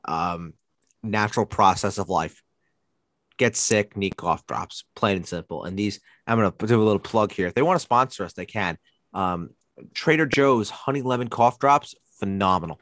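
Stuffy congested guy with laryngitis, kinda low voice, rambling podcast chat, dry close mic. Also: moderate pace, fairly bright tone, no echo. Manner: rambling, lots of fillers and restarts. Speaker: podcast co-host.

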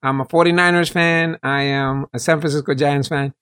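Earnest pastor from El Salvador. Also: male voice, on the high side, steady tone, normal speed, a bit bright, clear, resonant tone. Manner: earnest, detailed explaining with restarts. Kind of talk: interview guest.

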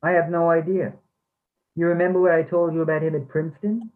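A man's voice: fairly high pitch, little pitch movement, a little fast, close to an everyday voice. A man reading the part of a formal, defensive former English instructor in a play. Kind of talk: readers theatre play reading. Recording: thin, phone-like sound.

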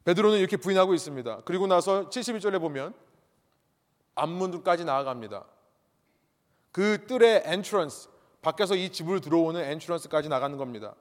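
A man's voice: fairly high pitch, expressive, fairly quick, big-room sound. A man preaching a sermon as a pastor, emphatic, repeating his key points and asking the congregation rhetorical questions.

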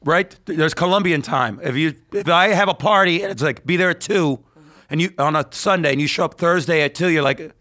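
A man's voice: on the high side, a little fast, sharp tone. A man hosting a podcast, joking and teasing his guests in casual chat. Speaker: podcast host.

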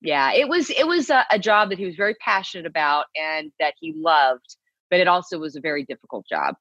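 A woman's voice: deep voice, big pitch swings, fairly quick, fairly bright tone, dry recording, muffled recording. A woman from Texas, a TV news co-anchor, chatting easily as an interview guest and laughing readily. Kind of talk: casual interview conversation.

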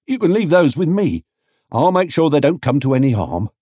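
A chatty, opinionated male stallholder from a storybook, acted out by a man. He is folksy and emphatic, his voice lifted high and swooping as he hands out advice. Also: sing-song, normal speed, dark tone, breathy edge, no echo, studio quality. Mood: confiding.

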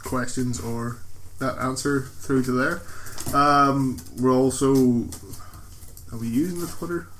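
A man's voice: mid-range voice, fairly even intonation, slow, a bit bright, a little hiss or hum. A man co-hosting a casual podcast, dry and steering the talk along. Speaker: podcast co-host.

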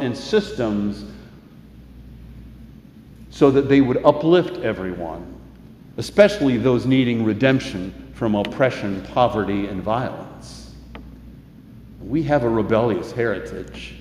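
A man giving a sermon as pastor, preaching in an earnest, measured way and reading scripture aloud. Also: mid-range voice, a little mellow, slight background noise.

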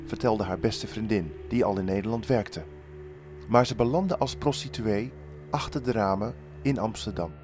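A man giving scripted documentary voice-over narration with a measured, deliberate delivery. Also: fairly low pitch, mellow.

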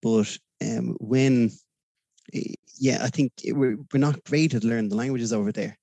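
A man's voice: mid-range voice, steady tone, normal speed, creaky, mellow, no room sound. A man with a Dublin Irish accent as a podcast guest, talking in a rambling, hesitant way with many fillers. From Ireland. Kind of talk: casual interview chat.

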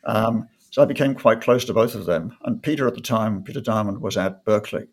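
Gravelly older man, measured. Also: lowish voice. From North Wales. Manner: measured, with frequent ums and restarts. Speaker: guest economist. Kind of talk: conversational interview.

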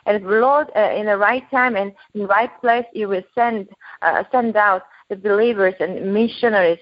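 A Korean-born woman with an accent mixing Korean, German and British, praying aloud and chatting as a guest minister with many halting restarts. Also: mid-range voice, no room sound. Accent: Korean mixed with German and British. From South Korea. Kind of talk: spoken prayer and podcast chat. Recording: dull, dry podcast audio.

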